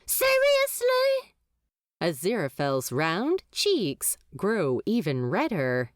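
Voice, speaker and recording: A woman reading scripted fiction as a narrator, in an expressive storytelling style. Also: medium pitch, takes it slowly, no room sound, studio quality. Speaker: audiobook narrator.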